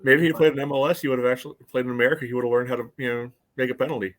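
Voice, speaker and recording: A man co-hosting a soccer podcast, chatting casually in a dry, wry and somewhat halting way. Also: mid-range voice, steady tone, fairly bright tone. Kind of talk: casual sports chat.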